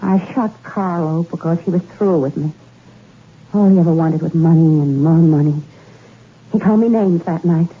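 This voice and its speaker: A woman's voice: sits low, moderate pace, breathy edge. An actress playing a rich young woman confessing to murder, cool and bitter, in 1960s radio drama style on an old muffled recording. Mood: cool confession. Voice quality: cool, confessional, then anguished.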